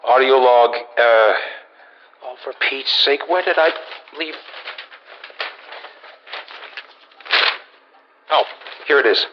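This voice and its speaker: An actor playing a gruff, wry male senior scientist, dictating a formal yet musing, dryly humorous audio log for a game through a radio-like filter. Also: mid-range voice, measured pace, slight background noise. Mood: flustered. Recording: muffled lo-fi audio log recording.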